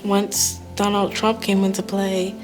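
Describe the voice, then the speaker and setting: Even-toned young woman. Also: mid-range voice, measured pace. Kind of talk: casual discussion. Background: music.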